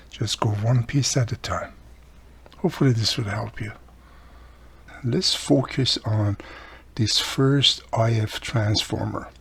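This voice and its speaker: A husky-voiced man with gives an informal hands-on tutorial. His pitch sits in the middle range for a man. He explains patiently in short, halting phrases, often repeating himself as he goes. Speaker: presenter of a radio repair tutorial.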